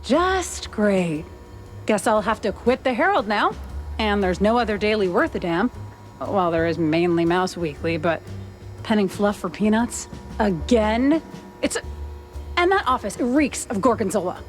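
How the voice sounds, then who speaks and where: A woman's voice: mid-range voice, lively intonation, unhurried, faint music behind, close to an everyday voice. A woman playing a sassy, jaded 1930s newspaper reporter mouse in a noir cartoon game. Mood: exasperated. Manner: wry, world-weary.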